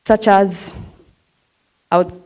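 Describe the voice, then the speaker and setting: A woman's voice: mid-range voice, fairly even intonation, slow, warm tone. A young woman who stutters, telling her own story candidly from a stage. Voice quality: stutters, repeats sounds and words. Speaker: speaker sharing her story. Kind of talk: personal talk on stage. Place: on a stage before an audience.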